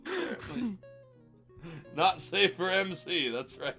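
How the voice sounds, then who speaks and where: Hammy, high-pitched, animated man reading aloud. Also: measured pace, gravelly, faint music behind. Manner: hammy, breaks into shouts and laughter. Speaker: streamer reading fan fiction aloud. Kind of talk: mocking dramatic reading on a livestream.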